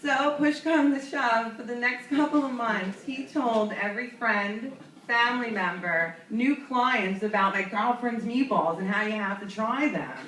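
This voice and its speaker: A woman's voice: a little high, a little slow, a bit bright, echoey room. A young woman comic from New York tells a rambling, self-mocking story from a club stage. She chats along and laughs at her own punchlines. The mic sits some distance away, and the room rings around her. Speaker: stand-up comedian. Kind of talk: stand-up comedy storytelling.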